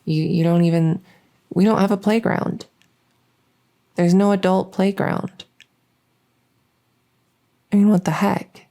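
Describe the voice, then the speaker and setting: A woman playing an awkward, lonely female vampire in an ASMR roleplay, speaking in a halting, earnest, rambling way to the listener. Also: mid-range voice, steady tone, warm tone. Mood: nervous, rambling.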